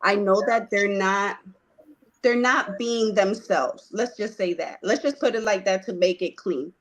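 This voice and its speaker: A woman from Puerto Rico telling her life story in a conversation, earnest and hesitant, with frequent pauses. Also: mid-range voice. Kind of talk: personal testimony in conversation.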